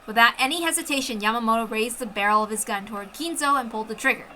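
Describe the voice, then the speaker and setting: A woman's voice: fairly high pitch, fast, pushed and bright, slight background noise. A woman reading a visual novel aloud as a dramatic narrator, with casual asides. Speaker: story reader.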